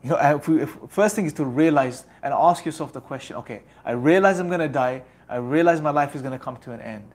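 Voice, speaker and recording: A man's voice: on the high side, fast talker, darkish tone. Earnest young man exhorting listeners. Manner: earnest, exhorting, rhetorical repetition. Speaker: religious speaker. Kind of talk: motivational religious talk.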